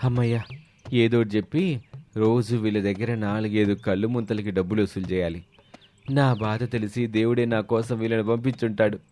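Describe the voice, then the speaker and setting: A man playing a sly, smooth-talking village drinker in an animated moral story. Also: fairly low pitch, moderate pace, close to an everyday voice. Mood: scheming. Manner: wheedling, scheming, reassuring.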